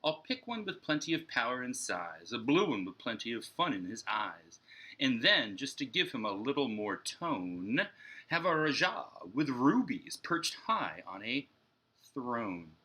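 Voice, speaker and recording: Imaginative, eager boy narrator for a picture book, acted out by a man. Lively swings, husky tone, dramatic rhyme. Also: medium pitch, a little slow, full character voice. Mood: eager, inventive. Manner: dramatic, emphatic, sing-song rhyme. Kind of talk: animated children's storybook read-aloud.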